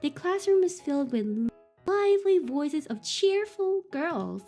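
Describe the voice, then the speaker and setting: Woman, game streamer, giggly, swears when startled, unhurried, very animated pitch. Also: faint music behind. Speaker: streamer playing a horror game. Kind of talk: live game commentary and reading on-screen text aloud.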